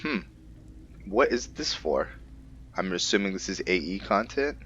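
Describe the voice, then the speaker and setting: Male gaming host, low and forceful, casual wry roleplay commentary, mildly muffled recording. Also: sing-song, unhurried.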